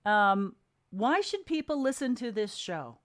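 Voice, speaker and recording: High-voiced woman hosting an interview. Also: very animated, a little slow. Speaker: interview host. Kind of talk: casual chat.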